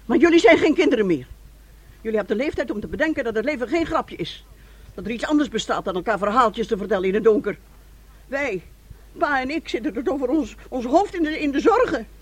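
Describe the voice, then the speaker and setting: Husky, scolding middle-aged mother. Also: on the high side, animated, a little fast. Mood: stern, lecturing. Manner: scolding, lecturing. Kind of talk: Dutch radio play acting.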